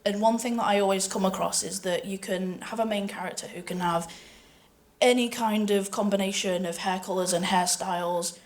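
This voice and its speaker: A woman's voice: mid-range voice, steady tone, normal speed. A woman talking as a panellist in a conversational, earnest and personal way, like a performer discussing her work. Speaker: voice actor and panellist.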